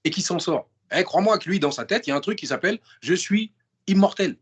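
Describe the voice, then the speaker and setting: Husky-voiced man talking animatedly. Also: high voice, brisk. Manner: emphatic and animated, full of restarts and repetition. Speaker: interview guest. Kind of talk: casual interview chat in slangy French.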